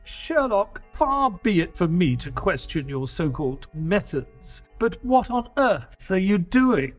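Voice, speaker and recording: A man acts a proper, slightly exasperated companion who also narrates an audio play. His voice sits on the high side and moves expressively, with slow, precise, polite phrasing. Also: very animated, faint music behind, exaggerated voice. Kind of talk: audio drama acting and first-person narration.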